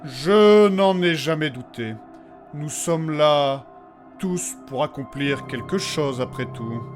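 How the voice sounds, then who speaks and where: Slow male actor delivery, calm manipulator, veiled menace, measured, fantasy drama, faint music behind. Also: fairly high pitch. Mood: quietly menacing. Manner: measured, falsely reassuring.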